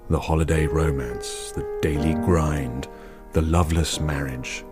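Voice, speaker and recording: A very deep-voiced male storyteller narrates an audio drama. He is reflective and wry, choosing his words with care and delivering them slowly, with piano music clearly behind him. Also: steady tone. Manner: measured, literary, deliberate.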